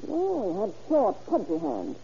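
An actress playing a sassy, worldly robbery victim in a 1940s radio drama, with a drawling, slangy, wry delivery. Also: female voice, medium pitch, huge pitch swings, a little slow, dark tone. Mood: coy, musing. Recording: muffled old radio broadcast, background noise.

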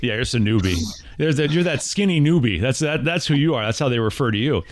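A man's voice: medium pitch, expressive, fast talker, no room sound, clean studio sound. A man hosting a casual interview podcast, teasing his guest and building up his story in a playful, dramatic way. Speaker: podcast host.

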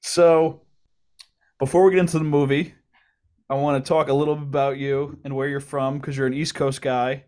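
A man's voice: on the high side, flat delivery, moderate pace, darkish tone. A man hosting a casual interview podcast, asking easygoing questions with loose restarts. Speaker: podcast host.

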